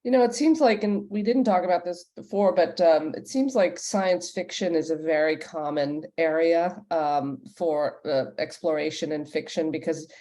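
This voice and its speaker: A woman's voice: low-pitched, normal speed, hardly any pauses, warm tone. A woman moderating a panel in a conversational way, thinking aloud and rephrasing her questions. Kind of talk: conversational interviewing.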